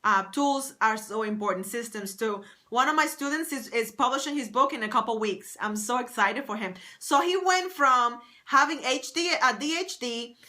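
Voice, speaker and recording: A woman's voice: fairly high pitch, moderate pace, hardly any pauses, sharp tone. A woman writing coach on a live stream, talking enthusiastically to her viewers, greeting them by name and repeating her points. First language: English. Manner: enthusiastic, repetitive, reads out viewer names.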